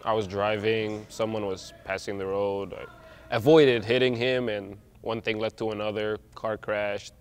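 A man's voice: fairly low pitch, level tone, measured pace. A young man from Egypt, a wheelchair basketball player, talking casually and reflectively about his accident. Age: young adult.

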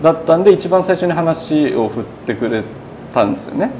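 A man's voice: a little high, expressive, fairly quick, a little mellow, a little hiss or hum, poor audio. A man in his thirties talking casually as an interview guest, telling his story in long run-on sentences. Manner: chatty, recounting in long run-on sentences with quoted speech. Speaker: burger shop owner being interviewed. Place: indoors in a small burger shop. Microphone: lapel mic.

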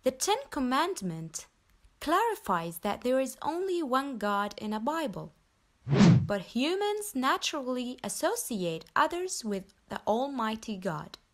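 A young woman from Nepal reading her personal story aloud in an earnest, measured way. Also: high voice, sing-song. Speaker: convert telling her story. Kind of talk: scripted personal narration.

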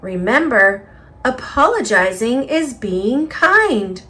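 A woman with a high, very lively voice reads a picture book to children. She takes her time, speaking carefully and expressively. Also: slow. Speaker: storybook reader.

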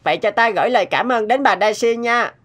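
A woman's voice: fairly high pitch, fast, natural voice. A woman voicing an earnest, outspoken young boy in a cartoon, polite but reproachful. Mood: earnest, instructive. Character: earnest, outspoken nine-year-old boy. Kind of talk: animated moral tale dubbing.

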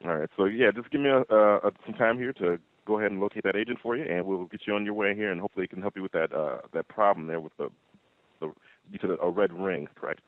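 Fast, deep-voiced male phone agent. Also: no echo. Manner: apologetic, accommodating, hesitant phrasing. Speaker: customer support agent. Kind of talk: customer service phone call. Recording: muffled narrowband phone line.

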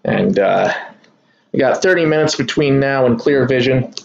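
A man's voice: medium pitch, normal speed, vocal fry, highs cut off, slight background noise. A man hosting a live sports card break, talking casually to his viewers as he opens boxes at a table indoors. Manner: relaxed, chatty, addresses viewers as y'all. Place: indoor room at a kitchen table.

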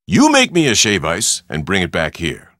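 An actor playing a boisterous Hawaiian tour operator in a video game, with hearty, pushy sales patter in short punchy phrases. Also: male voice, sits low, wildly swooping pitch, moderate pace, a bit bright, no room sound, studio quality, full character voice. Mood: teasing, bossy. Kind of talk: video game character dialogue.

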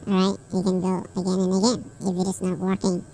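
A man speaking through a pitch-shifting voice changer as a small helium baby voice in an unscripted tutorial. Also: completely flat delivery, brisk, vocal fry, mellow. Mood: plain, explaining.

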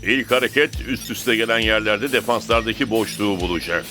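A man's voice: fairly low pitch, brisk, pushed and bright, faint music behind. A man voicing a confident, commanding football coach in a dubbed cartoon, authoritative and emphatic. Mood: confident, instructing. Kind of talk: animated cartoon dub acting.

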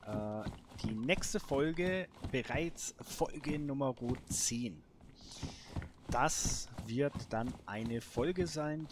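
A man commentates a video game at an unhurried, slow pace. His mid-pitched voice is colloquial and casual, as if chatting to himself and the characters. Clear noise and clicks sit behind the voice. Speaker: gamer and host of a let's play series. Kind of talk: casual let's play commentary. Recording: noisy home recording with clicks.

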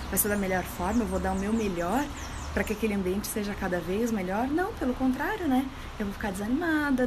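A woman, giving an earnest motivational talk to followers on a phone camera. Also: on the high side. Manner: warm, earnest, questioning, gestures while talking. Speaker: healthy-living coach. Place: indoors, room with window. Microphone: phone held at arm's length. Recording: phone video with clear background noise.

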